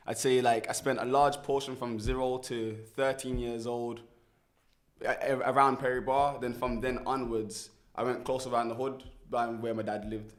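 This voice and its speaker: Young man, mid-pitched and easygoing, thinks aloud with many restarts. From Birmingham, England. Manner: relaxed, reflective, many restarts and fillers. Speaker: guest, musician. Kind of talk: casual interview.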